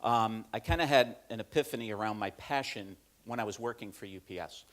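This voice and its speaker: Low-voiced man giving a conference talk. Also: brisk, a bit bright. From upstate New York. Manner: self-deprecating, anecdotal, frequent fillers and restarts. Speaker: corporate learning speaker. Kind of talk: conference presentation. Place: conference room.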